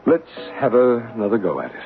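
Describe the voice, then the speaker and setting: A calm psychic medium. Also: male voice, fairly low pitch, fast talker, faint music behind. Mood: reassuring. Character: calm male psychic medium, gentle and assured. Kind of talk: 1970s radio drama acting. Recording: narrow radio broadcast with faint hiss.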